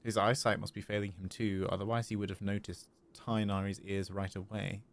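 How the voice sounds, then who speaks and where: A man's voice: lowish voice, little pitch movement, a little fast. A man livestreaming a game, reacting casually and playfully. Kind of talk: casual gaming stream reaction.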